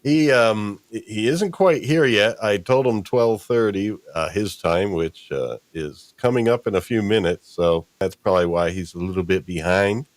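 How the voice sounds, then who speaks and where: A man's voice: on the low side, normal speed, few pauses, no room sound. A man hosting a casual, improvised livestream chat in a playful, rambling way. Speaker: show host.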